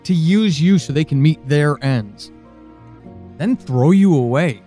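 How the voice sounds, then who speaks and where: A man playing a worried, frustrated friend in an audio drama monologue, speaking haltingly and intensely. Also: a little high, animated, warm tone, faint music behind. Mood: worried, subdued.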